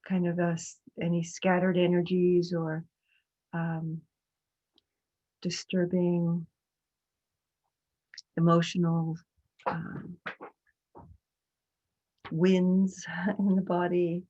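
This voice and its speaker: A woman with a lowish, mellow voice guides a meditation at a very slow pace. Her phrasing is gentle and hesitant, with long pauses between short phrases. The sound is slightly dull, like an online call. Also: little pitch movement. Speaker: meditation teacher.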